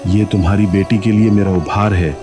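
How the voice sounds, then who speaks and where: A man's voice: fairly low pitch, steady tone, fairly quick, close to an everyday voice. A man playing the solemn, earnest ghost of an honest village milkman in a Hindi cartoon story. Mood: grateful, calm. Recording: music behind the voice.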